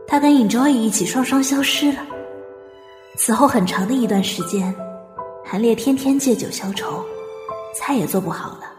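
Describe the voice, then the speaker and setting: A woman's voice: a little high, a little mellow, lightly acted. A woman acting as an earnest, wistful young apprentice chef who also narrates an audio drama. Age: young adult.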